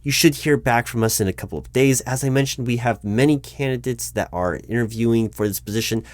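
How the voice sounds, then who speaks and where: A man's voice: medium pitch, a little fast, darkish tone, no echo, close to an everyday voice. A man playing a polite, awkwardly tactful corporate hiring manager in a one-sided interview roleplay, speaking aloud in a hesitant, stammering, diplomatic way. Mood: courteous. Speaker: recruiting manager conducting a job interview.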